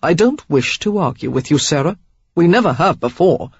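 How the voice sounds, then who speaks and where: Defensive, sulky young man, voiced lightly by a female reader; stiff, chiding tone, breathy edge. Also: deep voice, lively intonation, normal speed, no echo, dull audio, exaggerated voice. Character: young man, sulky, mother-bound suitor.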